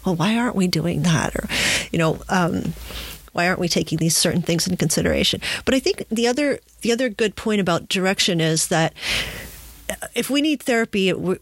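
A woman's voice: lowish voice, moderate pace, no room sound. Woman chatting in a close, dry recording. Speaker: podcast host and spiritual director. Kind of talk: conversational interview.

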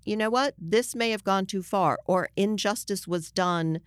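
A woman's voice: medium pitch, a little slow, dry recording. Woman in earnest conversation, dry close sound. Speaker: journalist guest. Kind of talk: conversational interview discussion.

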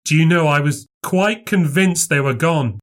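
A man's voice: a little high, moderate pace, voice pushed up, close to an everyday voice. A man plays a shaken husband in a storybook tale of ghostly horror. He speaks with agitated, earnest insistence, as someone badly rattled. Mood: subdued, earnest. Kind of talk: storybook character dialogue.

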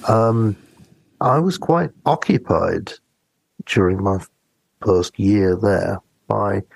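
A man co-hosting a podcast, talking casually about books and telling personal anecdotes in a hesitant, reflective, halting way. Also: fairly low pitch, creaky, no echo, low-quality recording. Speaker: podcast co-host.

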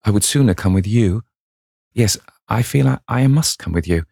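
A man narrating plays an eager young man from a classic novel. The voice rises above the reader's usual low pitch, earnest, admiring and pleading. The sound is dry and close. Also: animated, moderate pace, creaky, mellow, no echo. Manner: murmuring, earnest.

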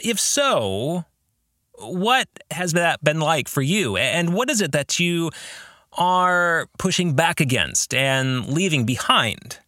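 American male podcast host, reflective and deliberate, animated pitch, dry studio-like sound. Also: no echo. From American Midwest. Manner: deliberate phrasing, posing questions to listeners. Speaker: podcast host, author and pastor. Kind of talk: reflective book discussion podcast.

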